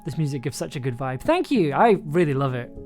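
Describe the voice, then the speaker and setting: A streamer chatting casually while working, thinking aloud in a hesitant way and trailing off, with a medium-pitched voice. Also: very animated, a little fast. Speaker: streamer, audio drama producer and composer. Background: music playing, keyboard typing.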